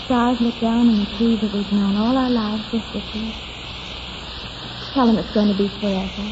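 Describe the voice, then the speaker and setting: A woman's voice: mid-range voice, fairly even intonation, moderate pace, background noise, close to an everyday voice. An actress playing an ardent, determined, romantic young woman in 1940s radio drama style. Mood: romantic, yearning. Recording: muffled old radio recording with music.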